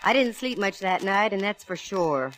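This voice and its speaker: An actress playing a cool, sassy, wisecracking private eye with wry, punchy delivery in 1940s radio detective drama. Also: female voice, medium pitch, expressive, moderate pace, fairly bright tone, faint music behind, natural voice. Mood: wry narration. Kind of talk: 1940s radio detective drama, first-person narration and dialogue. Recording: faintly noisy vintage radio recording.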